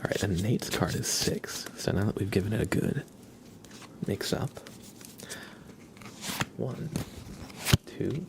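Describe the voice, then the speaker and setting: A man hosting an ASMR livestream, talking softly in a gentle, unhurried, chatty way to his viewers. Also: lowish voice, gravelly, very noisy. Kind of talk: ASMR, soft whispered live chat and reading.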